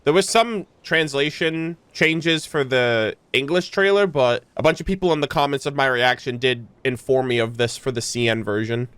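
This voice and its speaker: A man hosting a gaming video, giving casual, expressive, slangy reaction commentary close to the mic. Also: mid-range voice, normal speed, hardly any pauses, smooth, dry recording. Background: game music.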